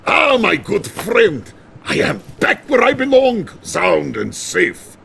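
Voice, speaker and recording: An actor playing a bombastic, scheming Soviet premier with a Russian accent and broken English in a video game cutscene. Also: male voice, wildly swooping pitch, slow pace, vocal fry, fairly bright tone, slight background noise, heavily acted. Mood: jovial, triumphant. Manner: bombastic, broken English, swings from booming to confiding. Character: boisterous, theatrical male Soviet premier, jovial and scheming.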